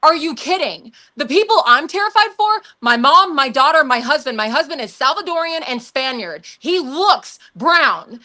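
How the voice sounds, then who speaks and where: A woman's voice: high voice, lively intonation, moderate pace, hardly any pauses, bright, forceful tone. A woman from Denver, arguing passionately as a panelist in a heated debate and pressing rhetorical questions. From Denver, Colorado, USA.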